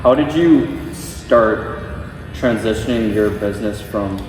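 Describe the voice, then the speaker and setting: A man's voice: fairly low pitch, slow pace. A young man hosting a podcast while cutting hair, asking loose, rambling questions full of "like". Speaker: podcast host and barber. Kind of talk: casual interview. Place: barbershop. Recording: echoey room, distant mic, faint noise.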